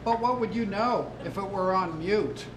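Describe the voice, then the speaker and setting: A man reciting short lines in a dramatic style. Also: normal speed. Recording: echoey room with rumbling background noise.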